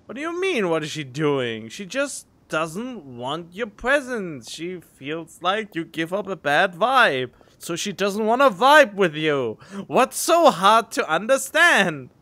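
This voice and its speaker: A man giving casual, expressive gameplay commentary, talking in halting, emotional bursts. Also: high voice. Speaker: game streamer. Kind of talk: casual reacting commentary over a game.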